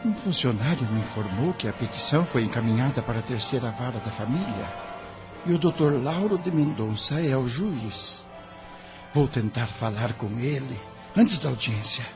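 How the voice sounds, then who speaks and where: A man's voice: medium pitch, animated, normal speed, close to an everyday voice. Man playing an earnest young novice lawyer, muffled audio. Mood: worried, resolute. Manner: earnest, polite, tentative. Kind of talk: audio drama acting. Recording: dull lo-fi audio. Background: music.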